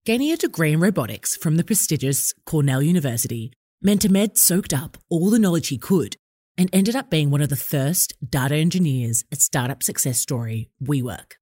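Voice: mid-range voice, lively intonation, normal speed, dark tone, slightly rough, no echo. Upbeat podcast host, dry close mic. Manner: upbeat, effusive. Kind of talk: scripted intro then interview questions.

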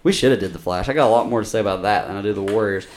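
A man's voice: on the low side, very fast, echoey room, slight background noise. A man in his thirties co-hosting a podcast, rambling and swearing casually, laughing often. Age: 30s. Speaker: podcast co-host. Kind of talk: crude casual chat.

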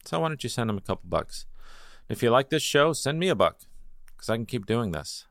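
A man hosting his own podcast, talking casually and haltingly with lots of fillers, a little downbeat. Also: medium pitch, very animated, gravelly, a bit bright, no echo, studio quality. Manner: rambling, halting with many fillers. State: feeling a little low. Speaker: podcast host; opera chorus singer. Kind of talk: casual solo intro and interview.

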